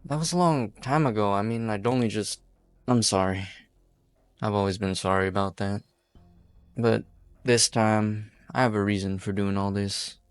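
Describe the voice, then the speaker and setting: A man playing a weary, apologetic outlaw in an amateur game fan dub, speaking in a measured, resigned way. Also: fairly low pitch, smooth, dry recording, close to an everyday voice. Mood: pleading, regretful. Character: weary, apologetic outlaw hostage-taker, a former lawyer.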